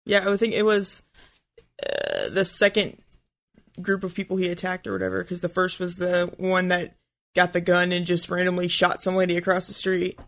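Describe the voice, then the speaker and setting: A man co-hosting a podcast, chatting casually and haltingly as he thinks aloud. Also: high voice, fairly even intonation, creaky, fairly bright tone. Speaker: podcast co-host.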